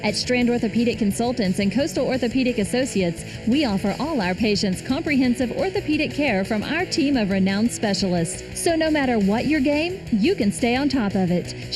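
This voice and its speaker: A woman's voice: on the high side, animated, brisk, few pauses. A woman reading scripted commercial narration as an announcer. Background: music.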